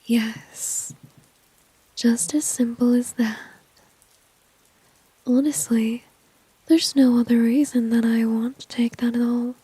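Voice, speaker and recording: Woman acting a breathy, whispering seductive villainess. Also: fairly high pitch, flat delivery, dark tone, no echo, heavily acted, poor audio. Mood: seductive, coaxing. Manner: slow, teasing, smug, intimate. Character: seductive, dominant female villain teasing a shy enemy. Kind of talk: whispered ASMR roleplay. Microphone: very close, dry ASMR microphone.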